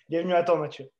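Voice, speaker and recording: A man with a slightly high voice and a Southern French accent hosts a finance podcast. He talks in a casual, spontaneous way, hesitating and repeating words for emphasis as he gives his commentary. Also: a little mellow. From south of France. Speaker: podcast host discussing the stock market. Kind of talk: casual chat and market commentary.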